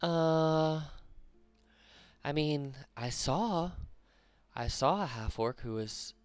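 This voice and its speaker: A man voicing an unsure male hobgoblin who speaks haltingly while he recalls something. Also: on the high side, expressive, smooth, dry recording, faint music behind, voice pushed up. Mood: musing.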